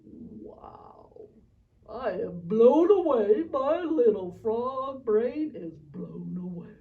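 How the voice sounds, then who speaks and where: A woman voicing a naive, curious, excitable little frog in a children's church puppet show. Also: a little high, extremely slow, creaky, far from the mic, phone-quality sound, heavily acted. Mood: amazed. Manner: childlike, wide-eyed, exclaiming.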